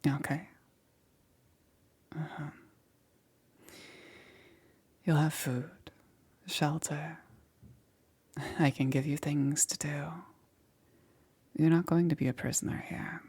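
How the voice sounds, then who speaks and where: Woman acting a slow, whispery, gentle witch. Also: low voice, steady tone, full character voice. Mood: earnest, reassuring. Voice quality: soft, breathy, often whispered. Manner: hesitant, halting, reassuring. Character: gentle, apologetic witch necromancer caring for her undead patient. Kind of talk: ASMR roleplay, intimate and slow.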